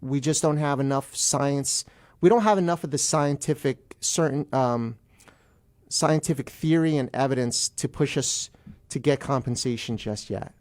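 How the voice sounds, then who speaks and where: A man's voice: mid-range voice, normal speed, darkish tone. A man speaking conversationally as a guest attorney on a talk show, explaining things emphatically and repeating key phrases.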